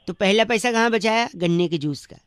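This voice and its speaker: A man's voice: high-pitched, brisk, a little hiss or hum, close to an everyday voice. A man playing a cheeky, pestering, argumentative prank caller in a comic radio phone call. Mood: chatty, mock-earnest.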